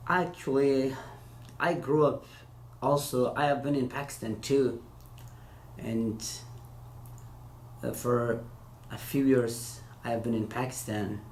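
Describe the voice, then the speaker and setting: Halting man in echoey room. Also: medium pitch, fairly even intonation. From Afghanistan. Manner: hesitant, halting non-native English with many restarts. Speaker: interview guest, former interpreter. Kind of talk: casual interview answers. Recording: echoey room with faint hiss.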